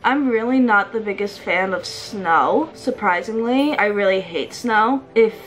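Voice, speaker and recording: A woman's voice: medium pitch, animated, unhurried, a bit bright, soft background music, poor audio. A woman in her twenties with an American accent chats casually and informally through a makeup tutorial, recorded indoors in a bedroom. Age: 20s. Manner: informal, self-deprecating, sprinkles in swearing. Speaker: beauty vlogger.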